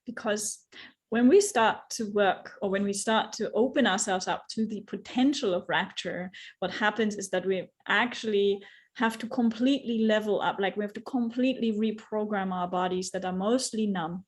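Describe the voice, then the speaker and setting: A woman speaking in an earnest, reflective conversation, often restarting as she searches for words. She stretches out the important phrases slowly and deliberately. Her voice sits at an ordinary mid pitch. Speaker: guest, yoga teacher and facilitator.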